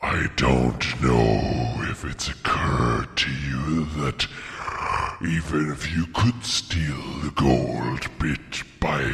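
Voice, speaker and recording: A man playing an ancient, huge dragon in a storybook reading, wicked, mocking and boastful, in a drawn-out theatrical delivery with cavernous reverb. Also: very deep voice, vocal fry, fairly bright tone, voice pushed down, heavily acted. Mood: sly, mocking. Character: ancient, huge male dragon, wicked, wily, gloating and menacing. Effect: added cavernous reverb. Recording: long cavernous reverb effect.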